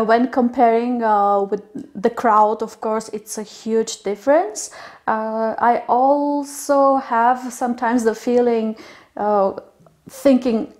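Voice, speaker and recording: A young woman from Bulgaria who grew up in Turkey, answering interview questions slowly with many fillers and restarts. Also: fairly high pitch, darkish tone. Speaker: interviewee, literary agent.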